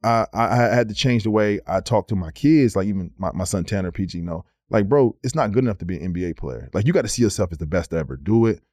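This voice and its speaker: A man in his thirties, a former pro basketball player, telling stories on a podcast in a casual, animated way, repeating words as he goes. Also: deep voice, quick pace, few pauses, dry recording, studio quality. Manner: animated storyteller, slips into quoted voices, repeats words. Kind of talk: casual storytelling.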